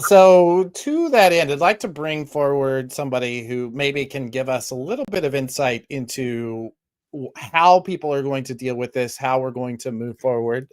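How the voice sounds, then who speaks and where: A man's voice: mid-range voice, clean tone. A man hosting a live panel talk, conversational and deferential as he brings guests in. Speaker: host of a live panel show.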